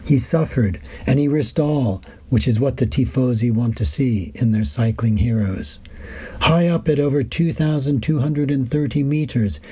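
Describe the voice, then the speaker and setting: Husky, mellow man reading a script. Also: mid-range voice. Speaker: wine and travel writer reading his reports. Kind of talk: scripted narration.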